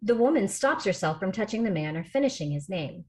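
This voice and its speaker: A woman's voice: mid-range voice, big pitch swings, brisk, a little mellow. A woman reading scripted screenplay narration as the stage-direction reader on a video call.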